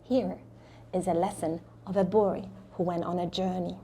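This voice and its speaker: A middle-aged woman hosting a children's read-along, telling stories in an expressive, dramatic way that sometimes drops into a hush. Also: fairly low pitch, normal speed, slight background noise. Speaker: host of a children's read-along and craft show. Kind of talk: animated storytelling for children.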